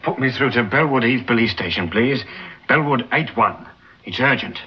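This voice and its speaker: A man's voice: mid-range voice, normal speed, a little hiss or hum, close to an everyday voice. An actor playing an alarmed man making an urgent report in a vintage radio drama. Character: urgent, alarmed ordinary man phoning in an emergency. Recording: muffled old radio drama recording.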